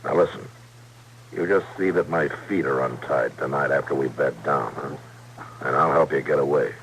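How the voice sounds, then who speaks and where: An actor playing a calm, weary American frontier marshal in an old radio Western, speaking in a measured, terse, quietly persuasive way. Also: male voice, very deep voice. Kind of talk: old-time Western radio drama acting. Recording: noisy vintage radio recording.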